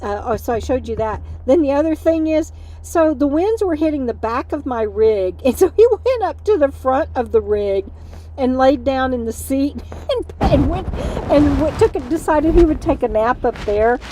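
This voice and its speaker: A woman's voice: high-pitched, lively intonation, moderate pace, warm tone, rough recording. Tired, chatty woman livestreaming. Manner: rambling, chatty, talks to her dog mid-stream. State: tired from lack of sleep, voice fading. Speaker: livestream host. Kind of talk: casual livestream chat. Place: inside an RV.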